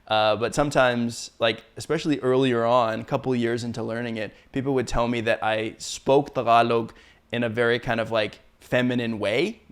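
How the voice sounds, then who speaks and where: A man's voice: medium pitch, normal speed, clean tone. Chatty Canadian man hosting an interview. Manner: relaxed, chatty, laughs often. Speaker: podcast host. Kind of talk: casual conversational interview.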